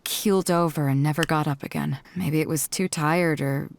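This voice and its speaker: A woman's voice: low voice, steady tone, moderate pace, vocal fry, a little hiss or hum. An actress voicing a bold, seasoned seafaring woman in a video game, frank and self-assured as she tells her story. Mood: somber, reflective. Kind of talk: video game character dialogue. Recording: music behind the voice.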